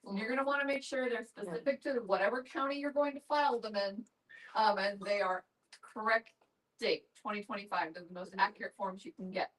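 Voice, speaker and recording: A woman's voice: mid-range voice, steady tone, a little fast, fairly bright tone. Woman explaining practical details, distant mic. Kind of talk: informative explanation to a group. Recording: distant mic in group discussion.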